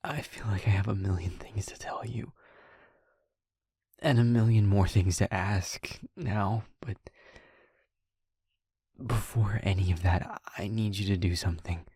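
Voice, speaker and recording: Guy doing a soft slow vampire boyfriend, real breathy, anxious n tender, halting whispers, audio rp. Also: fairly low pitch, steady tone, dry recording, clean studio sound, exaggerated voice. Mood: pleading, grave. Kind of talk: intimate audio roleplay, acted.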